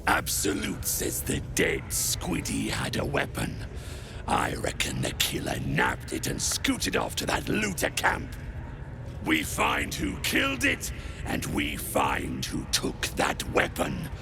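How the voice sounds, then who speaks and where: A gravelly brutish hobgoblin. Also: male voice, very deep voice, wildly swooping pitch, takes it slowly, over music, full character voice. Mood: gruff scheming. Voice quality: gruff, gravelly, barking. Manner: domineering, shouts incantations. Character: brutish male hobgoblin cult enforcer. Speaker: villain. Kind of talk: video game voice acting.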